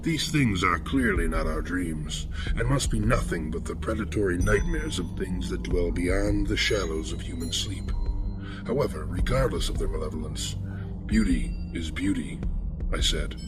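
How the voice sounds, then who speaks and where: An actor playing a serene, philosophical serial killer who narrates horror fiction in measured, florid, formal phrases. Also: male voice, sits low, lively intonation, over loud music. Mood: calm, musing.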